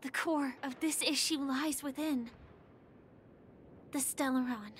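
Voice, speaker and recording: An actress playing a soft, thoughtful young woman in a video game. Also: high voice, steady tone, takes it slowly, a little hiss or hum. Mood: pensive. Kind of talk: video game story dialogue.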